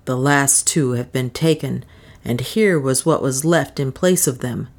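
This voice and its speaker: A woman's voice: very deep voice, unhurried, darkish tone, exaggerated voice. A woman voicing a grim, stoic male adventurer in an audiobook, gloomy, cool and firm. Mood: grave. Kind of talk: audiobook character acting.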